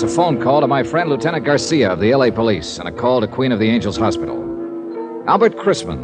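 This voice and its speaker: An American man playing a tough, probing insurance investigator in a 1950s radio drama, with clipped, deadpan delivery. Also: medium pitch, natural voice. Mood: brisk, brooding. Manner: clipped, pressing, deadpan first-person delivery. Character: tough, probing male insurance investigator, hard-boiled narrator. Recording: old radio recording with music.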